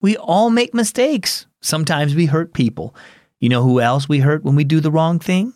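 A man's voice: fairly high pitch, expressive, normal speed, no room sound, studio quality, close to an everyday voice. A man voicing a kind, forgiving father in a storybook reading. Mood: reassuring. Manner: soft, reassuring.

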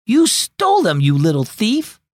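A man voicing an upset schoolgirl who shouts accusations and then sulks, reading a children's storybook aloud. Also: high-pitched, huge pitch swings, normal speed, breathy edge, no room sound, studio quality, heavily acted. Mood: angry. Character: upset schoolgirl, quick to anger.

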